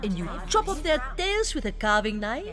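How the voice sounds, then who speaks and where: A woman's voice: on the high side, very animated, normal speed, fairly bright tone. An actress playing an imperious, gleefully wicked witch leader in a dramatized audiobook, commanding and gloating, swinging from hiss to shriek. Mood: gloating, instructing. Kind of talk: fully dramatized audiobook acting. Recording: music behind the voice. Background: music.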